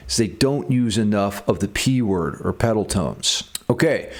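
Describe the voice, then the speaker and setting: A man hosting a music theory lesson, explaining in a playfully theatrical, tongue-in-cheek way. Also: medium pitch. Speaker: music theory teacher and video host. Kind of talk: guitar music theory lesson with scripted dramatic intro then casual explanation.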